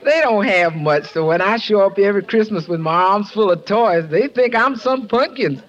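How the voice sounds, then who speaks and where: Actress as hearty folksy aunt, animated voice. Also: female voice, medium pitch, normal speed, natural voice. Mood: cheerful. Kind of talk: 1950s radio comedy acting. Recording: dull, band-limited vintage radio recording.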